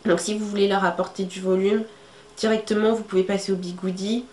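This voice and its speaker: A young woman with a stuffy cold talks her viewers through her hair tools in a relaxed, chatty way, stopping often on fillers. Her voice sits at a middle pitch. It was recorded in an echoey room with a faint hiss of ambient noise. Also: brisk. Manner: chatty, many fillers, shows items to camera. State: congested with a cold. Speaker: beauty vlogger. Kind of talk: casual how-to talk to camera.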